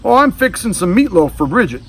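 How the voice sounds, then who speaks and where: A man's voice: high voice, very animated, a little fast, recorded from a distance, pitched above the performer's own voice, exaggerated voice. A man voicing a young storybook boy, casual, obliging and matter-of-fact.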